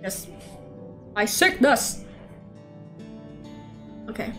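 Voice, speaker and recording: Higher-voiced young woman streaming a game. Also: very animated, drawn-out words, a bit bright. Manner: casual, stumbles and rereads lines. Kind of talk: livestream read-aloud of visual novel dialogue with casual asides. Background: game music.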